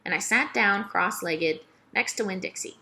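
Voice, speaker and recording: A woman narrating a children's novel aloud in an expressive, emphatic storytelling manner. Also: mid-range voice, normal speed, creaky.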